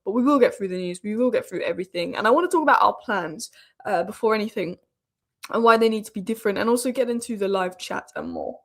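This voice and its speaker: A young woman hosts a live football stream while fighting a cold, so she sounds sniffly and rough in the throat. She talks in a chatty, opinionated way, with lots of fillers and restarts. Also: mid-range voice, expressive, fast, fairly bright tone. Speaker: football channel host. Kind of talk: casual live-stream commentary.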